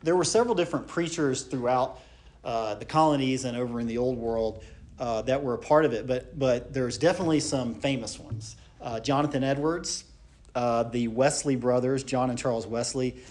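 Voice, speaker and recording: A man teaches church history in an unscripted, conversational way. His pitch sits in a middle range. He often stops on fillers and restarts, and he asks the class rhetorical questions. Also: a little mellow.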